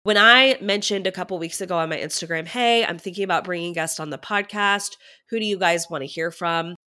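A woman's voice: on the low side, a little fast, pushed and bright. A woman money coach hosting a podcast, sounding stuffy from a head cold and talking with chatty enthusiasm to her listeners. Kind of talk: upbeat podcast intro monologue.

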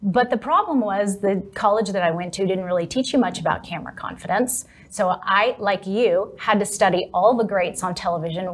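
A woman from Texas, a confidence coach, speaking as an upbeat, animated interview guest. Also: mid-range voice. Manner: upbeat, animated, laughs easily. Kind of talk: interview conversation.

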